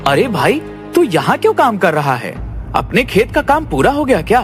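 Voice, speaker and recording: A man's voice: sits high, sing-song, normal speed. A man playing a cunning, brash young village trickster in a cartoon story, talking persuasively and pushily. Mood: scolding. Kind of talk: animated Hindi moral-story cartoon acting. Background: faint music.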